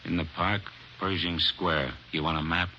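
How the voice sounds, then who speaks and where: Sarcastic young crook in his twenties, extremely deep but sharp-edged voice, old radio recording with faint hiss. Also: fairly even intonation, normal speed, dull audio. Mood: sullen, sarcastic. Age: 20s. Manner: sneering, evasive, sarcastic. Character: sullen young male burglar suspect, defiant. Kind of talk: 1940s-50s radio drama acting.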